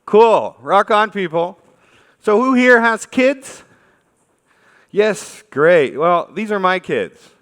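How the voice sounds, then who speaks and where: A man's voice: high-pitched, very animated, slow, fairly bright tone. Dutch-accented man speaking in a reverberant hall. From Netherlands. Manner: conversational, addresses listeners with questions. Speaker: conference speaker. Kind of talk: informal conference talk to an audience. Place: large conference hall. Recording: reverberant hall stage recording.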